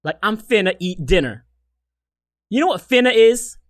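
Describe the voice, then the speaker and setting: American man chatting with animated pitch. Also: normal speed. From United States. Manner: slangy, profane, joking. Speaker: podcast co-host. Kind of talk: casual chat.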